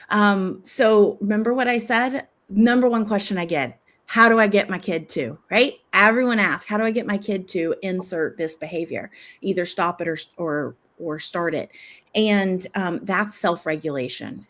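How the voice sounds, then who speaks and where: A middle-aged woman, a parenting expert, giving conversational advice, animated and laughing easily as she acts out what a parent might say. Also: fairly low pitch.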